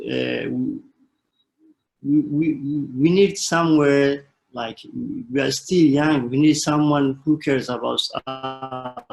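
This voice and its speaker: Halting young man, gravelly voice. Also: mid-range voice, low-quality recording. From Somalia. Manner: halting, many restarts and fillers. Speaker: panel guest telling his refugee story. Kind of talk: personal testimony in a panel conversation.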